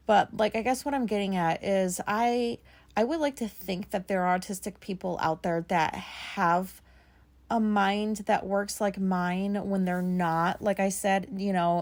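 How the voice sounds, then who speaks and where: Raspy woman rambling casually. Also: mid-range voice, darkish tone. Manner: rambling, many "like" fillers, casual swearing. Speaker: podcast host. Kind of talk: casual solo podcast monologue.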